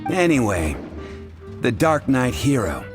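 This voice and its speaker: A man's voice: mid-range voice, sing-song, takes it slowly. A man playing an easygoing, wry tavern bartender in an anime game, telling rumours conversationally. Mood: wry, casual. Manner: conversational, wry, chuckling asides. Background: background music.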